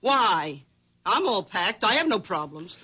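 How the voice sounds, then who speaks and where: An actress playing a domineering middle-aged wife, curt and scolding, in a 1970s radio drama. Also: female voice, medium pitch, big pitch swings, unhurried, pushed and bright, husky, exaggerated voice. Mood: scornful, bossy. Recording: muffled 1970s radio broadcast.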